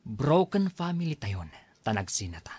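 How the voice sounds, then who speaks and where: A man plays an exasperated husband in an Ilocano radio drama, defensive and dismissive. His voice is husky and swings widely in pitch, with an Ilocano Filipino accent, recorded dry and close. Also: big pitch swings, normal speed, darkish tone, no echo. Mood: scornful, angry. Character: irritable, defensive husband accused of cheating.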